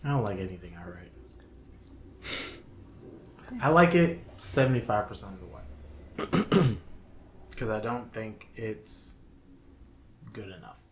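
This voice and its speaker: A man's voice: on the low side, animated, takes it slowly, long pauses. A man co-hosting a podcast in casual conversation, talking in a self-deprecating way. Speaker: podcast co-host. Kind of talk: casual chat. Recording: clearly noisy room recording.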